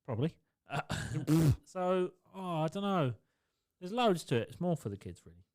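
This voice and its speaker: A man's voice: a little high, expressive, normal speed, darkish tone, dry recording, clean studio sound. Sweary man telling a story. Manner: sweary, storytelling, laughs a lot. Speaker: podcast co-host. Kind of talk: casual chat. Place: home garage. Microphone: studio podcast mic.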